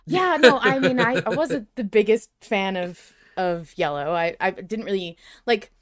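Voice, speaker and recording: A woman's voice: medium pitch, expressive, measured pace, crowd noise. A woman co-hosting a casual fan podcast, wry and teasing, with exclamatory reactions and casual swearing. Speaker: podcast co-host.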